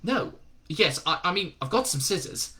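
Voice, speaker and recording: A man's voice: high voice, big pitch swings, moderate pace, sharp tone, voice pushed up, full character voice. A man voicing a timid, polite young man who speaks tentatively and stammers. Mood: flustered.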